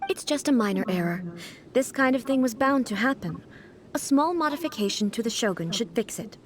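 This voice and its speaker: A woman voicing a reclusive, contemplative female deity in a video game, speaking in a measured and articulate manner. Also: on the high side, lively intonation, a little hiss or hum, lightly acted. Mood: defensive.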